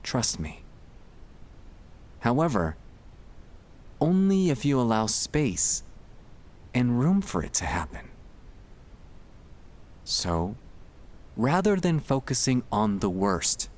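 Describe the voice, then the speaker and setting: A man speaking in a gentle, soothing and reassuring way, guiding relaxation in an ASMR style with pauses between short phrases. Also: medium pitch, big pitch swings. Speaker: ASMR sleep-aid performer.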